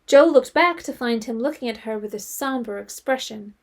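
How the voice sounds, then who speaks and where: A woman's voice: a little high, moderate pace. A woman narrating an audio drama as a scripted literary story.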